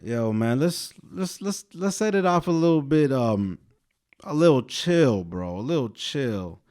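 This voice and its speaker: A young man co-hosting a casual podcast, slightly hoarse from a sore throat, rambling in a laid-back way. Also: mid-range voice, animated, a little slow, darkish tone. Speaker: podcast co-host. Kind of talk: casual chat.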